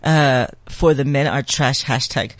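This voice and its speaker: A man, a guest on a talk show, arguing in an impassioned, emphatic way with quick bursts of speech. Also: medium pitch, no room sound. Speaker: guest commentator.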